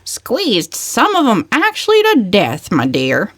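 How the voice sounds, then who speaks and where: A woman's voice: medium pitch, wildly swooping pitch, takes it slowly, dry recording, studio quality, voice pushed up, exaggerated voice. A woman voicing an indignant older female cousin in an audiobook, aggrieved and emphatic. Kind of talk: dramatic audiobook character voice.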